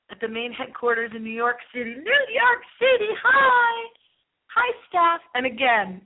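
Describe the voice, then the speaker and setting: A woman hosting a paranormal radio show in a rambling, theatrical monologue, repeating phrases for emphasis. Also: on the high side. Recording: thin, narrow phone-line sound.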